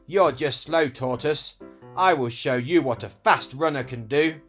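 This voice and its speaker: A man's voice: on the high side, measured pace, a bit bright, faint music behind. A man voicing a boastful, mocking male hare in a children's storybook fable, with a taunting, cocky manner. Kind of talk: storybook read-aloud character voice.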